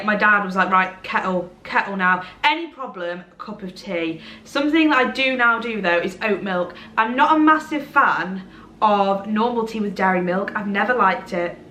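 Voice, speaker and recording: A woman's voice: mid-range voice, bright, forceful tone, reverberant, slight background noise. A young woman in her twenties from Derbyshire with a Midlands accent, chatting casually and rambling to a camera at home. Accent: English Midlands. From Derbyshire, England. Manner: chatty, rambling, self-deprecating. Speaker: vlogger. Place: bright room at home, indoors.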